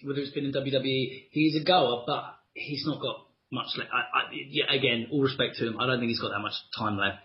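A man's voice: mid-range voice, steady tone, brisk, hardly any pauses, lo-fi sound. A man co-hosting a casual wrestling podcast, telling stories with lots of laughter and animation. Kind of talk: casual chat.

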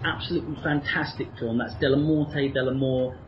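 A man's voice: mid-range voice, fairly even intonation, brisk, a little hiss or hum. Enthusiastic British man, lo-fi podcast sound. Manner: enthusiastic, frequent ums. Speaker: co-host. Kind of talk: casual podcast chat.

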